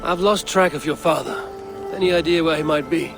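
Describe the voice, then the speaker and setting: Game casting: rugged Norse raider, wry and measured, fairly high for a man, music behind. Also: vocal fry. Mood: calm. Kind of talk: video game cutscene acting.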